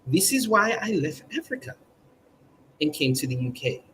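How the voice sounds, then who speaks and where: A man's voice: on the high side, sing-song, moderate pace, fairly bright tone, slight background noise. A man hosting a comedic mock newscast in a stilted, formal newsreader manner, drawing out his words. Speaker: mock news presenter on a livestream.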